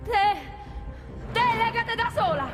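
High-pitched fiery Roman woman. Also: animated, slow, full character voice. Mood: bossy, frantic. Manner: blunt, defiant, colloquial. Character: fiery, stubborn working-class woman, desperate hostage taker. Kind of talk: theatrical monologue. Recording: hall reverb, faint music behind.

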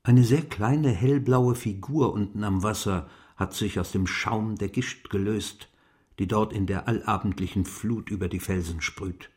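An actor playing an elderly, wistful gentleman diarist in a literary radio play, speaking in a measured, formal and precise way. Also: fairly low pitch, close to an everyday voice. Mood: contemplative. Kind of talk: literary radio play monologue, reading diary entries.

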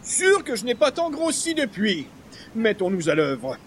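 A man's voice: high voice, huge pitch swings, measured pace, a little hiss or hum, exaggerated voice. An actor playing a pompous, hapless male columnist with florid, formal wording in a radio comedy. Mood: determined, self-satisfied. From Quebec. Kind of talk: 1940s-style radio comedy acting.

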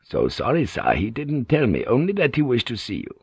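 A man voicing a deferential, nervous male secretary in an audiobook, confiding in a hushed, hesitant, respectful way. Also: mid-range voice, wildly swooping pitch, brisk, husky, dry recording, voice pushed up, heavily acted. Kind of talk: audiobook character dialogue.

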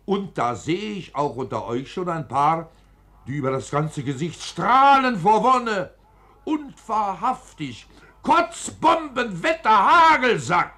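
Hearty male storyteller, vintage 1940s radio play; exclamatory, mocking, very animated. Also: high-pitched, slow, exaggerated voice. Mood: boisterous, mocking. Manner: theatrical, exclamatory. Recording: 1940s studio radio recording with music.

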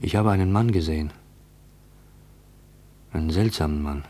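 A man playing an uneasy, watchful narrator in a radio play, introspective, questioning and deliberate. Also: a bass voice, mellow. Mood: uneasy, searching. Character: uneasy, watchful male narrator shadowing a stranger. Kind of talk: German radio play monologue.

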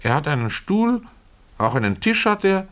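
Blunt female housekeeper, played by a male reader, raised pitch, emphatic, stubborn, storybook servant. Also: very animated, normal speed, a bit bright, clear, resonant tone. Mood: eager, emphatic. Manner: insistent, emphatic.

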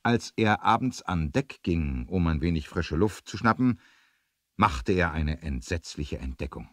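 Deep, animated male storyteller. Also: measured pace, dry recording, clean studio sound. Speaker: narrator. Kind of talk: dramatic scripted storytelling for 1970s adventure radio play.

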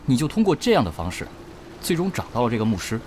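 An actor playing a proud, driven young esports captain in an audio drama, expressive and dryly sardonic. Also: male voice, medium pitch, fast, noisy background, lightly acted. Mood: incredulous, sardonic. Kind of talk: Mandarin audio drama acting. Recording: dramatic music bed behind the voice. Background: prominent background music.

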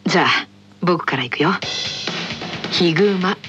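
Woman as a calm, teasing teenage boy, lowered voice. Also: measured pace, muffled recording, over music, close to an everyday voice. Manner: soft, calm, playfully superior. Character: gentle, teasing, unflappable senior teenage boy tennis player. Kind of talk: anime radio drama skit.

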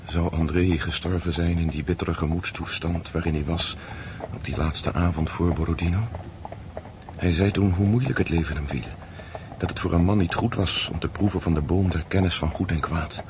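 An actor playing an earnest, gentle young nobleman who stammers when moved, in a radio drama. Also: low voice, moderate pace, background noise, natural voice. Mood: pensive.

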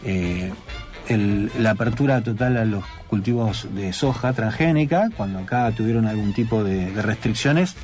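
A man who grew up in Uruguay, speaking with a Rioplatense accent as a radio guest, explaining in a measured way. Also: on the low side, darkish tone, soft background music. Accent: Rioplatense Spanish. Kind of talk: radio interview.

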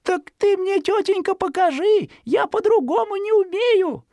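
A woman voicing a tiny, plucky, cheeky little boy in a storybook, with shrill childlike pleading and boasting. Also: measured pace, warm tone, dry recording, studio quality. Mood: mock innocent.

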